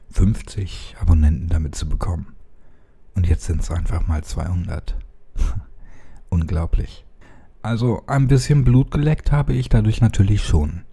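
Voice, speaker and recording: A man who hosts and narrates horror stories, speaking in a husky, low voice with a measured delivery. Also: dark tone. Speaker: horror story narrator and channel host. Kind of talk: casual channel address, then dramatic horror audiobook narration.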